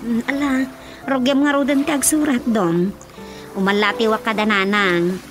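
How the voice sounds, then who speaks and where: An actress with an Ilocano Filipino accent playing a gently nagging village woman in a radio drama. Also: fairly high pitch, fairly even intonation, moderate pace, close to an everyday voice. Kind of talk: radio drama comedy acting. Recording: loud music bed under voice.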